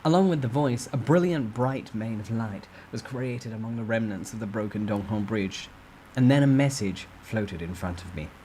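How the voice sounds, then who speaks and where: A man's voice: fairly low pitch, expressive, normal speed, mellow, slight background noise, rough recording. A man reading a fantasy novel aloud as a dramatic, suspenseful first-person narrator. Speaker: amateur story narrator.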